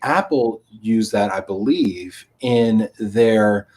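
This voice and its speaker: A man's voice: on the low side, slow, a little mellow. A man with an ordinary mid-range voice hosts a live stream in a chatty, effusive way. He reads out viewer comments, jokes about them, and gushes over what his guest says.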